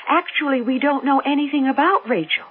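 A woman's voice: sits high, fairly quick, lightly acted. An actress playing a gracious, concerned middle-aged mother in an old radio drama, solicitous and theatrical. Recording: tinny old radio sound with music.

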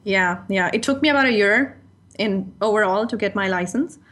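A woman's voice: mid-range voice, normal speed, fairly bright tone. A woman in her thirties from Pakistan, chatting candidly as an interview guest and often slipping in "you know". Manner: chatty and candid, laughs easily, many "you know" asides. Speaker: guest, freelance writer. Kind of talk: casual interview chat.

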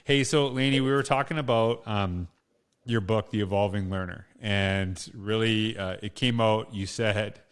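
Casting: middle-aged Canadian man, low voice, informal interviewer who thinks aloud and rephrases as he goes. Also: measured pace, clear, resonant tone. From Canada, small town Saskatchewan. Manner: informal, rambling, self-rephrasing. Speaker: podcast host. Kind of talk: casual conversational interview.

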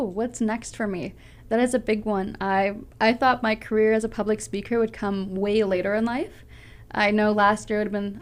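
A young woman from Canada, a public speaker answering interview questions in an earnest, passionate way with a big voice. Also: mid-range voice. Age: young adult.

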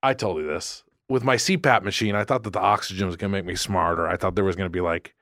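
Bright-voiced man with a Boston accent. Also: low voice, quick pace, no room sound, studio quality. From Boston area, USA. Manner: rambling, self-mocking, laughs easily. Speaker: podcast co-host. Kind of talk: casual comedic chat.

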